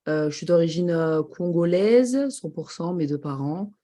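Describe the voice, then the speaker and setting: A woman in her thirties talks as a podcast guest with a mellow, dark tone. She speaks hesitantly, pausing on fillers. Her delivery is casual and unhurried. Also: on the low side, animated. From Paris region. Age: 30s. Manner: hesitant, slow, many fillers. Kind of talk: casual chat.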